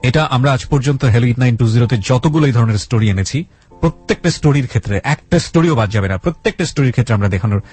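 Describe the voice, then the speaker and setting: A man's voice: medium pitch, a little mellow, breathy edge. A man with a Bangladeshi accent hosting a radio interview, speaking emphatically to listeners and repeating key phrases. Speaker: radio host. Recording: music under the voice. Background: background music.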